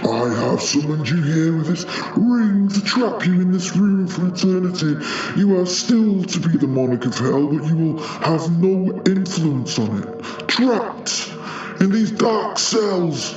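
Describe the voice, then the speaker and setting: A man's voice: high voice, animated, takes it slowly, a little mellow. A man playing a pompous, power-hungry ancient demon duke, gloating and ranting grandly in an audio drama. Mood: menacing, gloating. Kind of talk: audio drama villain acting. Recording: distant, echoey, muffled voice. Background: music.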